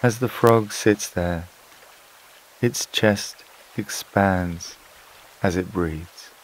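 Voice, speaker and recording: A man narrating a scripted sleep meditation in a calm, soothing, unhurried way, with long pauses between phrases. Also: deep voice, rough recording. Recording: water and rain sounds behind voice. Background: flowing stream, rain-like ambience.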